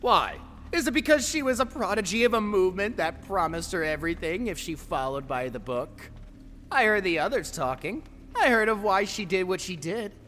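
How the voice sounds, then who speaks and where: A sinister presence haunting someone's head , acted by a man, swooping widely in pitch through long reverb. It needles and mocks theatrically, in audio drama fashion, with a bright, sharp edge. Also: expressive, moderate pace. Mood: cruel taunting. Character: taunting, sinister voice inside a tormented man's mind. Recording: long reverb, faint background noise.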